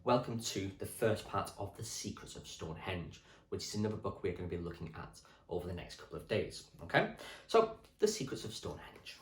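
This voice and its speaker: A man, a primary school teacher, reading a children's history book aloud with clear, expressive storytelling for children. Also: sits low, fairly quick. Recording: echoey room.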